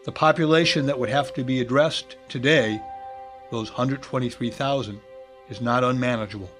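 A man's voice: medium pitch, normal speed, a bit bright, faint music behind. A man reading a scripted essay in formal, complete sentences. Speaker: essay narrator.

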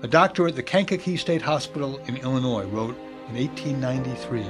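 A man reading a scripted essay in formal, complete sentences. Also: medium pitch. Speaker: essay narrator.

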